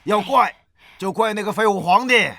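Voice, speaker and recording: An actor playing an arrogant middle-aged grain merchant in a costume drama, sneering, pompous and cruel to the poor. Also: male voice, high voice, normal speed, a crowd in the background. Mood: cruel, mocking.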